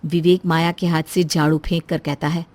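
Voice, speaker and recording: A woman's voice: low voice, little pitch movement, moderate pace, darkish tone, dry recording. A woman storyteller reading a scripted moral story as narration.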